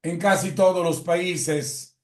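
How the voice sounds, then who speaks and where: A man with an Italian accent gives a lecture in Spanish, often halting with fillers and correcting himself. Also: sits high, steady tone. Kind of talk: lecture presentation. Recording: echoey room.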